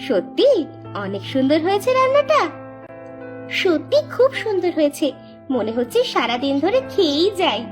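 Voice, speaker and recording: A woman's voice: high voice, sing-song, moderate pace, long pauses, over music. A woman voicing an eager, greedy young village niece in a cartoon, in a gushing, childlike manner. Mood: eager, hungry. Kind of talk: amateur cartoon dubbing.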